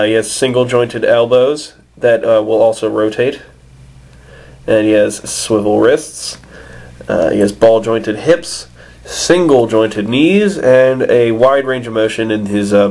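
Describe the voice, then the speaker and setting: A man with a stuffed-up nose from a cold, casually reviewing an action figure with many fillers and restarts. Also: medium pitch. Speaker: toy reviewer.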